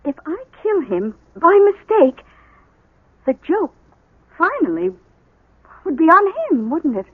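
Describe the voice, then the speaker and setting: An American actress playing a fiery, protective small-town schoolteacher wife in a radio drama, emphatic and scolding. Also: female voice, high-pitched, very animated, measured pace. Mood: coolly threatening. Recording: thin lo-fi old radio broadcast.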